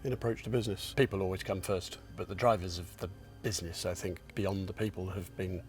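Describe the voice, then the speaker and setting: Reflective low-voiced man in his sixties. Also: steady tone, soft background music. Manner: reflective, modest, halting with restarts. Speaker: company founder and chairman. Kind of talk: interview answers.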